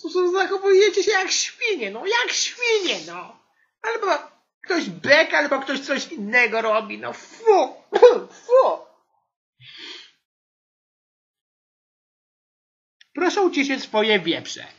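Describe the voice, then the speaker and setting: A woman's voice: high-pitched, sing-song, measured pace, a bit bright, highs cut off, heavily acted. A woman playing an exasperated female English teacher in an amateur comedy audio sketch, whining and grumbling as she scolds her class. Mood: whiny, disgusted.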